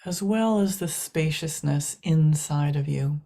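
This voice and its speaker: Slow, low-voiced woman guiding meditation. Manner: soft, hushed, long pauses between short phrases. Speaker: meditation guide. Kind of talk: guided meditation.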